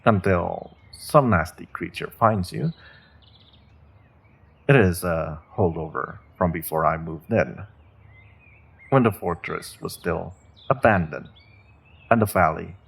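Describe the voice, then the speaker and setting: A man playing a menacing, courteous evil sorcerer warlord in a fantasy audio roleplay, gloating in a mock-polite, deliberate way with a gravelly, husky voice. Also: low-pitched, expressive, full character voice. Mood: smug menace. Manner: slow, deliberate, mock-polite, savoring threats with drawn-out pauses. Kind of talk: fantasy audio roleplay, speaking directly to a captive listener.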